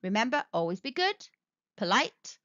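A woman reading a children's story aloud as narrator, in animated storytelling style. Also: a little high, moderate pace, dry recording, muffled recording.

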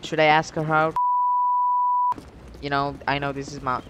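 A man's voice: a little high, level tone, moderate pace, heavy background noise. A young man casually telling a story while commentating on a game, rambling in slang with heavy swearing. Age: young adult. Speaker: gaming video creator telling stories over gameplay.

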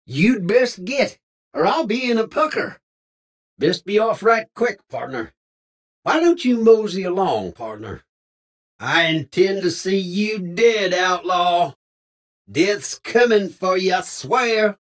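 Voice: medium pitch, sing-song, slow. A voice actor playing a feisty folksy cowboy in a video game, speaking in an exaggerated frontier drawl full of old Western slang. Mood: menacing. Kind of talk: video game character voice lines.

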